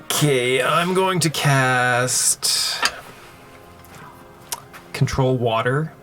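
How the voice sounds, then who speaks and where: A man playing a tabletop game, planning his moves aloud in a measured, slightly hesitant casual way. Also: mid-range voice, bright, forceful tone. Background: music.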